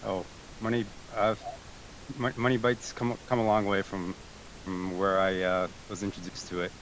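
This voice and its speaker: A man's voice: deep voice, flat delivery, normal speed, fairly bright tone, a little hiss or hum, rough recording. A man born in Greece answering interview questions over a voice chat, hesitant, with many fillers and restarts. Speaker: guest, tech support team member. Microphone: remote voice chat call.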